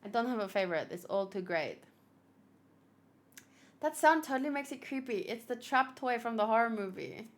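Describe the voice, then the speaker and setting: Casting: female livestream host, high voice, casual and exclamatory, chats off the cuff with viewers. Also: normal speed, long pauses. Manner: animated, exclamatory, thinking aloud. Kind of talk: casual livestream chat, reading and answering viewers.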